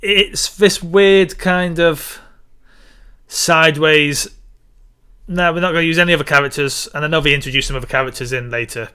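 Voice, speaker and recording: A man's voice: fairly high pitch, steady tone, moderate pace, pushed and bright, smooth. A man co-hosting a casual film discussion, giving his opinions and repeating points for emphasis. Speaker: podcast co-host.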